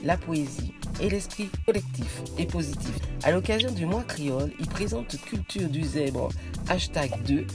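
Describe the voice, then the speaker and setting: A woman's voice: deep voice, animated, normal speed. A woman hosting a radio program, reading a scripted introduction and then prompting her guest with short questions. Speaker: radio show host. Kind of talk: radio interview. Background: music bed.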